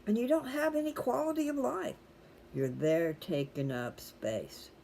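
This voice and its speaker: A mellow, relaxed voice with a folksy way of talking to viewers. The host rambles casually from one aside to the next, over a faintly noisy home-style recording. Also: mid-range voice, measured pace, creaky. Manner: chatty, folksy, digressive. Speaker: host reading scripture aloud for viewers.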